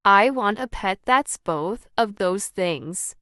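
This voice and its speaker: A woman voicing an excitable, bossy storybook witch, with exclamatory, emphatic delivery. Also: medium pitch, slow pace, dry recording, studio quality. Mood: pleased. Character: excitable witch, fussy and bossy pet owner.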